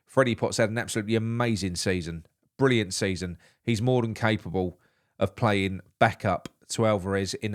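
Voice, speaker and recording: A man, nasal from a blocked nose, hosting a solo live football morning show in a rambling, opinionated way. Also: medium pitch. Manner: opinionated, rambling with frequent restarts. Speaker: football podcast host.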